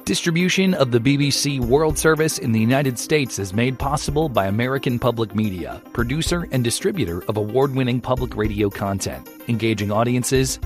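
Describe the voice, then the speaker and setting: A man reading a sponsor announcement in a smooth, measured way. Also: mid-range voice. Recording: faint music bed.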